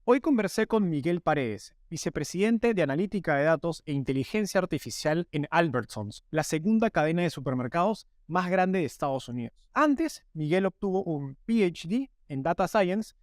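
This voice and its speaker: Higher-pitched male podcast host. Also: lively intonation, normal speed, hardly any pauses, no room sound, clean studio sound. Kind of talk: scripted narration and podcast interviewing.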